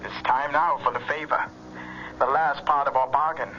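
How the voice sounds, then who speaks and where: Sharp, sinister radio-drama caller. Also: male voice, medium pitch, fairly even intonation. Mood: smooth menace. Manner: measured, insistent. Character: sinister, coolly insistent man calling in a bargain. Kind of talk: old-time radio drama acting. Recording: muffled old radio recording, faint noise.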